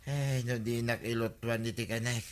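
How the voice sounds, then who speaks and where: A man's voice: mid-range voice, fairly even intonation, moderate pace, close to an everyday voice. A man playing a sickly, put-upon village husband who whines and complains in an Ilocano comedy radio drama. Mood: aggrieved. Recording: noticeable background noise.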